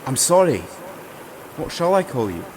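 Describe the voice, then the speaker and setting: A man's voice: on the high side, sing-song, normal speed, slight background noise. A man playing a bold, courtly would-be king in a fantasy audio drama, with gallant, flowery, formal speech. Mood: curious.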